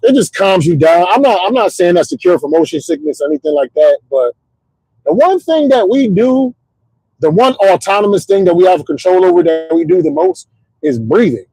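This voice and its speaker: A middle-aged man hosting a casual livestream, rambling in an animated, motivational way with lots of repeats and "you know what I'm saying". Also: high-pitched, moderate pace, gravelly, husky, no echo, low-quality recording. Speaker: host.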